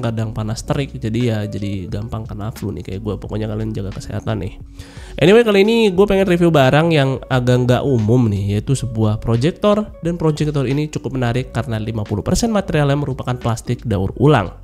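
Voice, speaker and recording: A young man narrates a tech review as a voice-over, sounding nasal and blocked up from a cold. His tone is dark and mellow and his manner chatty and loose. Faint music plays under him. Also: mid-range voice. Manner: chatty and informal, with many filler particles. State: stuffy nose from a cold. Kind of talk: casual product review voice-over. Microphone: voice-over mic.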